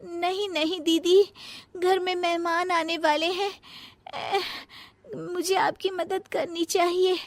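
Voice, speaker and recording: A woman's voice: extremely high pitch, flat delivery, slow, dry recording, exaggerated voice. A woman voicing a lazy, scheming young daughter-in-law in a cartoon family drama, whiny, dramatic and defiant. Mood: feigning weakness. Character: lazy, scheming, jealous young daughter-in-law who whines and fakes illness.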